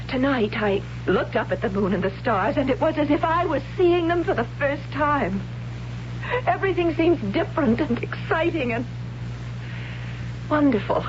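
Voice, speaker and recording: An actress playing a devout, tormented warrior woman in love, in a 1970s radio drama, speaking with halting, solemn intensity. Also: high voice. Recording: muffled, noisy 1970s radio recording.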